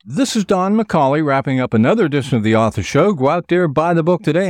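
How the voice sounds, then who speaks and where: A man's voice: medium pitch, a little mellow, no echo, clean studio sound. A man hosting an author interview show, with polished questions and presenting.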